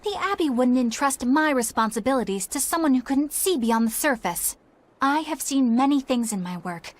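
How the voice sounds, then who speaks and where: A woman's voice: high-pitched, expressive, normal speed. A woman voicing an earnest, righteous young female exorcist in a video game, formal and sincere. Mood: solemn, sincere.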